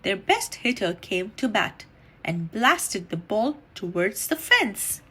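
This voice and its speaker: A woman reading a children's story aloud in an animated, deliberate storytelling manner. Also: mid-range voice, breathy edge. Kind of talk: expressive read-aloud storytime narration.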